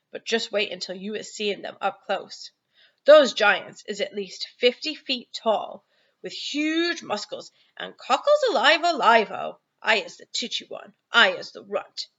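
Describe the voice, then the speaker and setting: Woman voicing an animated storybook giant, comically muddled grammar. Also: medium pitch, a little slow, highs cut off. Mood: rueful, emphatic. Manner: mangled grammar and invented comic words. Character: friendly, boastful, muddle-worded giant, male, from a storybook.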